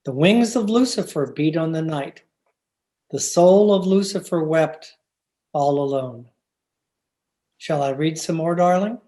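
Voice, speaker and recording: Woman as gentle, low-voiced devoted husband. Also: unhurried, pauses often. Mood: solemn, reciting. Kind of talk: old-time radio drama acting. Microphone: recorded remotely over video call.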